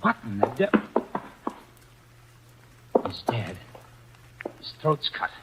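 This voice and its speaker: A man playing a wisecracking young detective's assistant in a 1940s radio drama, brisk and fast-talking. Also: mid-range voice, big pitch swings, noisy background.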